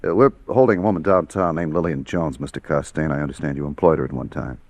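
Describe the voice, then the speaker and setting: An actor playing a businesslike police lieutenant in an old radio crime drama, clipped and official. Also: male voice, rumbling low, fast talker, darkish tone, no room sound. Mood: politely official. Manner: clipped, matter-of-fact, official. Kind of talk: mid-century radio crime drama acting.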